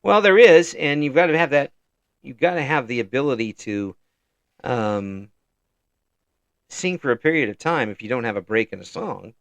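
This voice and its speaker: Guy hosting a chat, gravelly voice, lots of ums and restarts, dry sound. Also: mid-range voice, lively intonation, fairly bright tone, dry recording. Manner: halting, many fillers and restarts, chuckles often. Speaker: interview host. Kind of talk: casual interview chat.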